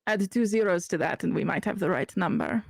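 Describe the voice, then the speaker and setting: A woman voicing an ancient, courteous being from a realm of shadow, with a put-on Russian accent and formal, measured speech. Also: medium pitch, gravelly, breathy edge, no echo, studio quality. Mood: courtly.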